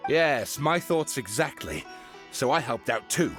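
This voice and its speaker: A man playing an excitable writer of mystery tales in a video game, with a showy, emphatic delivery. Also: medium pitch, a little slow. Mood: eager. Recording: music behind the voice.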